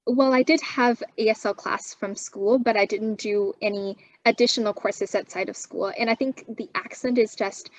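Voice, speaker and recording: A young woman from China, whose first language is Chinese, answers in a calm, considered way and pauses to gather her thoughts. Her voice sits in an ordinary middle register. The recording is dry, with no room echo. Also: mid-range voice. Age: young adult. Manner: measured, thoughtful, frequent um fillers. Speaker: guest. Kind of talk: interview answers.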